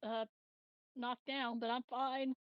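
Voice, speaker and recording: A woman's voice: a little high, little pitch movement, slow, clean tone, no room sound, lo-fi sound. A woman chatting casually as a player in a tabletop roleplaying game.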